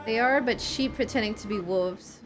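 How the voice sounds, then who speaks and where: A woman's voice: on the high side, normal speed, music in the background, lightly acted, poor audio. Woman reading as a solemn, brooding young man. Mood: grave, bitter. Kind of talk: reading game cutscene dialogue aloud.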